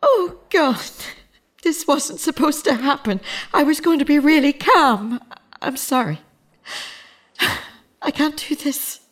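An actress playing a fragile, grieving middle-aged mother in a naturalistic audio drama, speaking in a halting, confessional way. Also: sits high, lively intonation. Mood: distressed, flustered. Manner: halting, self-correcting, confessional. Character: grieving mother of a murdered teenage son, fragile.

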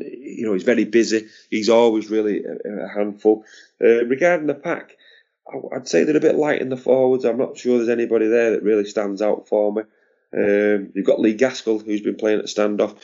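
A man with a hoarse, fading voice talks through a podcast in a casual, stop-start way. His voice sits on the low side, and the recording is dull and muffled. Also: a little mellow. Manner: halting, repeats words, chuckles. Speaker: podcast co-host. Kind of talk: casual sports chat.

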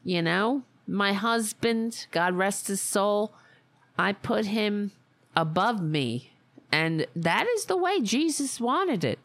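A woman's voice: medium pitch, big pitch swings, takes it slowly, a bit bright, dry recording, exaggerated voice. A woman putting on a brash older Staten Island widow with a thick local accent, in a satirical, mocking monologue. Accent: Staten Island, New York. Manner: sarcastic, exaggerated, mocking persona.

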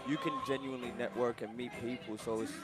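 A young man, Amharic-speaking, chatting casually with a radio host at an outdoor festival. His pitch is mid-range, and he turns loud and excited as he talks. Music plays clearly in the background. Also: fast talker. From Ethiopia. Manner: enthusiastic, gets loud and laughs when excited. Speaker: festival guest. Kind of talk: casual interview chat.

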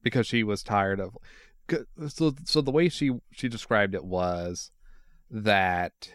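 A man in casual conversation explaining a detail, pausing and restarting as he goes. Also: on the low side, smooth, no echo. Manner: informative, halting with restarts. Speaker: podcast co-host. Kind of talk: casual chat.

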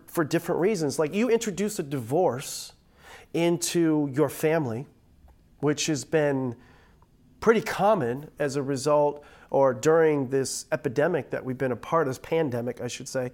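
A man's voice: a little high, moderate pace, a little mellow. A middle-aged man, a pastor giving a pre-recorded online church message, sounding a little nasal from a cold, upbeat and joking in a conversational way.

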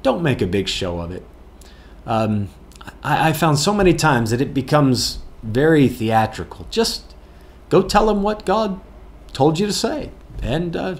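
Earnest man teaching Bible answers. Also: mid-range voice. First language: English, not Afrikaans. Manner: plain, earnest, chuckles often, restarts words. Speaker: pastor answering viewer questions.

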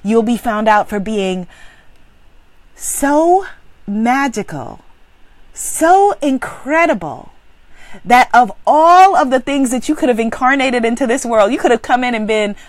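High, emphatic woman motivational speaker. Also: animated, measured pace, no room sound, rough recording. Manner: emphatic, exclamatory, preacher-like repetition. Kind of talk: inspirational talk to women.

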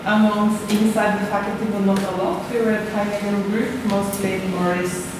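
A woman's voice: mid-range voice, level tone, moderate pace. A woman from Norway with a Norwegian accent, giving a conference presentation as a university lecturer, hesitant with many fillers and restarts. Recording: echoey room, distant mic, background noise.

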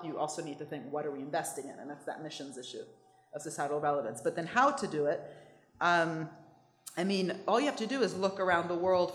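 A woman from Italy with an American accent, speaking fast and emphatically to an audience with restarts and quick asides. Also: low-pitched, reverberant. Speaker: economics speaker. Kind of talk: lively talk with audience questions.

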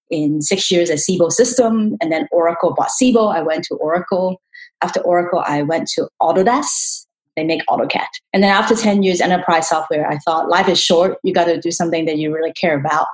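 A woman's voice: on the low side, poor audio. A woman. She talks casually as an interview guest, telling stories and explaining her views in a conversational flow. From Hong Kong. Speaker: venture capital partner, interview guest.

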